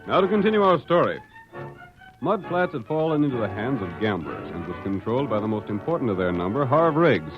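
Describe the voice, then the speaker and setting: A man's voice: medium pitch, very animated, brisk. An American man delivering scripted narration for a 1940s radio drama. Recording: dull old radio recording. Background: music.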